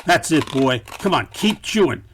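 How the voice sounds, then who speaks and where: An actor playing a melodramatic, wisecracking private eye hero who is easily flustered, in a campy noir radio satire. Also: male voice, fairly high pitch, expressive, a little slow, fairly bright tone, slight background noise. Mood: determined.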